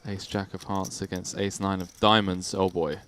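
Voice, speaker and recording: A man giving brisk, chatty play-by-play commentary on a live poker stream. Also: low-pitched, level tone, fairly bright tone.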